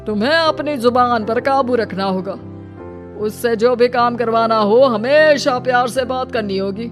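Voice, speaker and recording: Slow, gently wise holy man voiced by a woman. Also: on the high side, music in the background, lightly acted. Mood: reassuring, instructing. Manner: slow, measured, counselling. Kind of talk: animated moral story acting.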